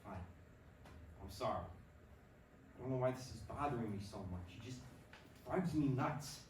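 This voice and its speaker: An actor playing a troubled, earnest baseball player with a brooding, halting delivery in a staged play reading. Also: male voice, mid-range voice, lightly acted. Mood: resigned. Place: performance room, recorded from a distance. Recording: roomy, distant mic, faint noise.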